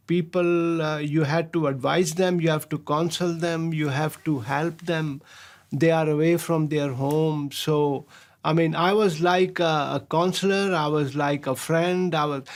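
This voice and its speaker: An older man, his voice sitting a touch high for a man. He tells his stories in a relaxed, halting way, stopping on small filler sounds and breaking into easy chuckles. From Pakistan. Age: 60s. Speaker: professor, interview guest. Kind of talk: relaxed storytelling interview.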